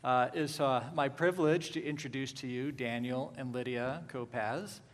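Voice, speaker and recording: A man hosting a casual interview at a church gathering, asking relaxed questions. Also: mid-range voice, normal speed, long reverb tail. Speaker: church host. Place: church hall.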